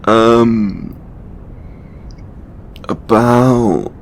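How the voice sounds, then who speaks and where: A man playing a stressed, tender god confiding in a lover in an intimate audio roleplay, speaking haltingly with stammers and sighs. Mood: embarrassed, defensive. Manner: hesitant, halting, stammering and sighing. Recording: background noise with low rumble.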